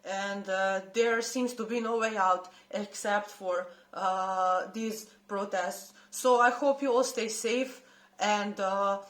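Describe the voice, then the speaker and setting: A woman from Serbia with a Serbian accent, giving an impassioned but halting unscripted statement. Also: mid-range voice, fairly even intonation, fairly bright tone. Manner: impassioned, halting with many hesitations. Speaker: protest commentator. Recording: roomy echo.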